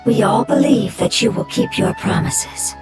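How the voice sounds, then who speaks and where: Brief: a solemn old non-human being, swinging widely in pitch, over loud game music. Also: female voice, measured pace. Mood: earnest. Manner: calm, solemn, formal. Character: ancient non-human life form, solemn and sorrowful. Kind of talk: video game voice acting.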